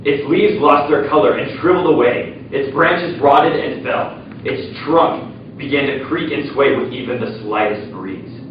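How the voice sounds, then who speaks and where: A man playing a grave, defiant revolutionary, declaiming a poetic and impassioned theatrical speech with deliberate solemnity. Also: medium pitch, lightly acted. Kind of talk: theatrical oratory. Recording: very echoey, distant, muffled, faint noise.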